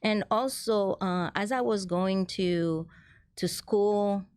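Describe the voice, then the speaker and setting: A woman's voice: mid-range voice, takes it slowly, darkish tone, clean tone, no echo, clean studio sound. A middle-aged woman from Haiti, a guest in a casual interview, talking earnestly with many restarts. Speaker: guest, transit ambassador.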